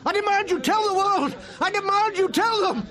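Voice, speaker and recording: An actor playing a flustered, indignant English barrister in a radio comedy drama, stammering and plaintive. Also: male voice, very high voice, lively intonation, moderate pace, a bit bright. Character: flustered, indignant male barrister, anxious and hapless.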